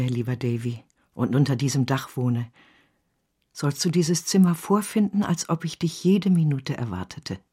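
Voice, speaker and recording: An actress playing a devoted, motherly country nursemaid in a radio drama, with a husky, tender, earnest delivery. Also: low voice, mellow, heavily acted.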